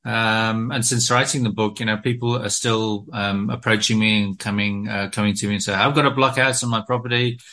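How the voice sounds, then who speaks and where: Middle-aged British man, low-ish voice, forceful and bright, halting with lots of ums. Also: little pitch movement. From Britain. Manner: hesitant, many ums and restarts. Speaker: guest author. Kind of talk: casual interview talk.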